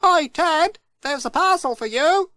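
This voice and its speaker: A storyteller voicing a cheerful, busy village postman who talks brightly and eagerly. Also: medium pitch, sing-song, unhurried, no room sound, voice pushed up. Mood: cheery. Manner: bright, sing-song and eager. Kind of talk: storybook read aloud.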